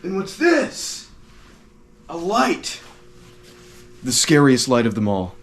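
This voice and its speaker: A man voicing a goofy male monster hunter in an indie horror game cutscene, slow and mock-dramatic, talking to his viewers. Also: a little high, huge pitch swings, exaggerated voice. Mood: mock-spooky.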